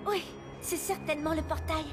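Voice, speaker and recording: A woman voicing a brave teenage fairy heroine in an animated cartoon, speaking earnestly and dramatically. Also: sits high, normal speed, natural voice. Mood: determined. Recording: loud music over the dialogue.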